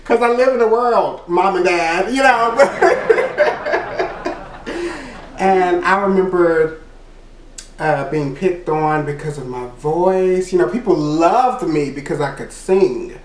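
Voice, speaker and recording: A man with a naturally high voice recounts a personal story in an unhurried, rambling way. He fills his speech with "you know" and laughs between his remarks. He sits back from the mic in an echoey room. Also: distant mic, a little hiss or hum. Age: adult. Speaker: vlogger. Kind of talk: casual storytime monologue.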